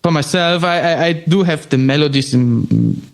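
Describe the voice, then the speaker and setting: Man, from Spain, young adult, interview guest, halting restarts, raspy fry, slightly high pitch. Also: soft background music. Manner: halting, searching for words with frequent restarts. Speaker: guest musician. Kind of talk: conversational interview.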